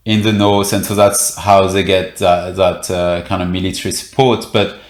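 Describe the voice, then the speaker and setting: A man's voice: low voice, little pitch movement, normal speed, reverberant. A man, a reporter guest in an interview, explaining events with many fillers and restarts. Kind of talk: interview conversation.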